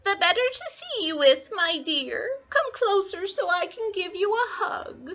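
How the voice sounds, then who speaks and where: A woman's voice: very high-pitched, animated, unhurried, bright, forceful tone, dull audio, voice pushed up, exaggerated voice. A woman voicing a male wolf who pretends to be a frail, sweet old granny, cooing in a scratchy voice. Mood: false sweetness.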